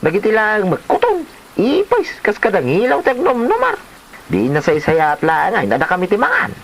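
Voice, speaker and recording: Excitable, blustering husband played by a man, noisy audio. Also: high-pitched, normal speed, creaky, exaggerated voice. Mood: dismissive. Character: stubborn, joking husband who raids grave offerings. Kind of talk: comedy radio-style drama acting.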